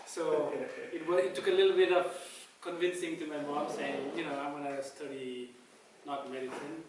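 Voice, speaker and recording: A man from Nepal tells his story in a relaxed way, chuckling now and then. He is heard from a distance in a very echoey room, with steady noise behind him. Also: fairly high pitch, measured pace. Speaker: guest, tech founder. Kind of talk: casual onstage interview. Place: event venue, echoey room. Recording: echoey room, far mic, background noise.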